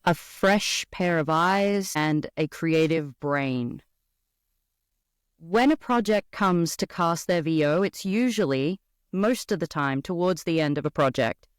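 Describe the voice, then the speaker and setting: A woman's voice: sits low, slow pace, clear, resonant tone, no echo, clean studio sound. A woman with an Australian accent, a voice actor chatting expressively as a podcast guest. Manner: animated, expressive storyteller. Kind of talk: casual podcast conversation.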